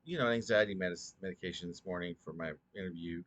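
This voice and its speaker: Low-voiced man, drowsy halting poetry reading. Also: fairly bright tone. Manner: deliberate, with stumbles and repeated phrases. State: drowsy, short on sleep. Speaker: poet reading own work.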